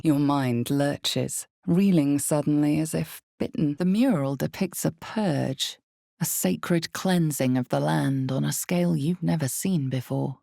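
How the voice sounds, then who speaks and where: A very deep, creaky fantasy narrator. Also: female voice, dry recording, studio quality, full character voice. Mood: ominous, mysterious. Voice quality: creaky, low, mellow. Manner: measured, deliberate, dramatic pauses. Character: omniscient female narrator of a dark fantasy game, grave and ominous. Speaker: game narrator. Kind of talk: dramatic scripted second-person fantasy narration.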